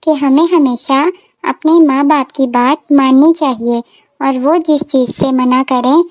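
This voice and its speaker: A woman's voice: high-pitched, a little slow, a little mellow, dry recording. A woman narrating a scripted children's story as storyteller, in a simple, repetitive manner.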